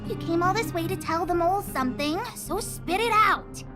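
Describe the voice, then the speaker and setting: A woman's voice: very high-pitched, normal speed. A woman playing a boastful, bossy little girl leading a kids' gang in a video game, with brash, childish, dramatic delivery. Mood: grudging, brisk. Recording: loud music under the voice.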